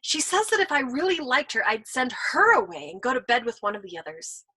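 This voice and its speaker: A woman's voice: high voice, sing-song, brisk, bright, forceful tone, breathy edge, full character voice. A woman voicing an anxious, earnest young male airman pleading with his friends.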